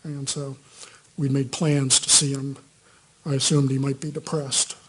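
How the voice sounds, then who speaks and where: A middle-aged man giving hesitant, soft-spoken testimony as a witness on a courtroom microphone. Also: medium pitch, flat delivery, unhurried, breathy edge. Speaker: trial witness.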